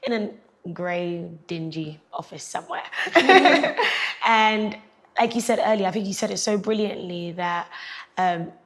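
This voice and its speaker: A young woman moderating a panel discussion in a studio, chatty and lively, acting out other people's remarks in a lifted voice. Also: medium pitch, measured pace. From Britain. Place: bright studio set.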